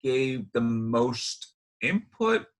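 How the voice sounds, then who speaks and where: A man hosting a casual interview in a halting, rambling way. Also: medium pitch, big pitch swings. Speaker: interview host.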